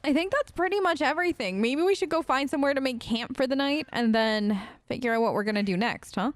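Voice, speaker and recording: A woman's voice: high voice, quick pace, no room sound. A woman hosting a let's play, giving casual, chatty role-play commentary and musing in character.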